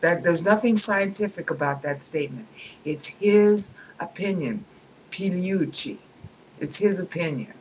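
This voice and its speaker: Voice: medium pitch, poor audio. Voice-over at a home computer, book reading with opinionated asides, digressive, chuckles, faint background noise. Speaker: reader commenting on a book. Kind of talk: reading aloud with commentary.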